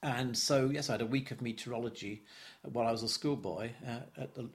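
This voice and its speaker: A man's voice: mid-range voice, a little fast. An older man in his seventies, from London, looks back on his early years in an oral history interview. He talks in a reflective, stop-start way, tripping over repeated words and chuckling as memories surface. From London, England. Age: 70s.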